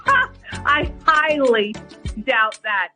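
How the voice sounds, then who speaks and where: A woman's voice: high voice, big pitch swings, drawn-out words, sharp tone. A middle-aged woman talking on a phone call in a curt, haughty, scornful manner. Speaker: prank call target. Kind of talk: phone conversation. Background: music.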